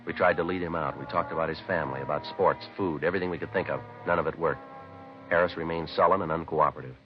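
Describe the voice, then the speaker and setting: A very deep-voiced American police detective, terse and businesslike. He speaks quickly in a flat, matter-of-fact way, as in old radio crime drama. The vintage recording is limited in tone, with clear music behind. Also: dull audio. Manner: deadpan, clipped, matter-of-fact. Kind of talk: 1950s radio police drama acting and narration.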